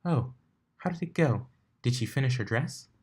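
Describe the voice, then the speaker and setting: A man's voice: mid-range voice, expressive, moderate pace, a little mellow, breathy edge, voice pushed up. A man voicing a young, bookish female unicorn who sounds inquisitive and flustered in a cartoon fan story. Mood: friendly.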